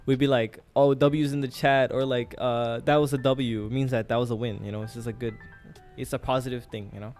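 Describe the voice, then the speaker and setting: A man's voice: mid-range voice, flat delivery, brisk, hardly any pauses, darkish tone, faint music behind. Chatty young man live streaming. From Thailand. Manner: chatty, talks to chat with many fillers. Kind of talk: casual live trading stream.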